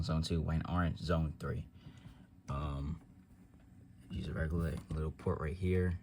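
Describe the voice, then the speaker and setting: A man with a low, deep voice talks through a tech demo in a casual, unscripted way. He rambles along and tends to trail off into filler phrases. There is faint noise and room ambience behind him. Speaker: tech vlogger demonstrating an office phone system.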